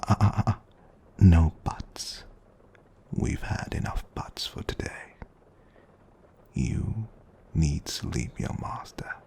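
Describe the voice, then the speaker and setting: A man acts out a suave demon butler in an ASMR roleplay, very low and creaky, often slipping into whispers. He is formal and polite, with a teasing edge and gentle firmness, and takes his time over each phrase. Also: takes it slowly, long pauses, heavily acted. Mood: gently firm. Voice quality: breathy, close whisper with creaky low tones.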